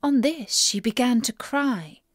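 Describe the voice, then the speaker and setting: A woman narrates a children's fairy tale at an unhurried pace. Her pitch moves up and down in a lively, expressive way, and the recording is dry and close. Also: dry recording. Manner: expressive, deliberate read-aloud. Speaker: storyteller.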